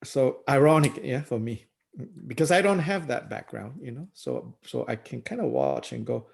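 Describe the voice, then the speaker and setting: A middle-aged man from Malaysia, a Buddhist teacher talking through ideas in a thoughtful, unhurried way, with many pauses, "you know"s and frequent chuckles. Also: medium pitch, animated, creaky, a little mellow, husky. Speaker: Buddhist teacher leading a book study. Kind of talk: reflective discussion talk.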